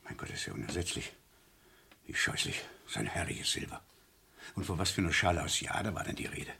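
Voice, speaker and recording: An actor playing a gruff, testy older colonel with a husky, gravelly voice in a German radio crime play. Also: male voice, low-pitched, sing-song, a little fast, sharp tone, exaggerated voice. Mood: appalled. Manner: blustering, testy, muttering. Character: gruff, impatient retired colonel, indignant robbed art collector.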